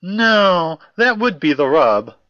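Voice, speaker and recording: Man playing a fussy, courtly academic gentleman, swooping intonation. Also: high-pitched, big pitch swings, takes it slowly, no room sound, dull audio, heavily acted. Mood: earnest, polite. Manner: formal, wordy, old-fashioned politeness. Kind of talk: audio drama dialogue.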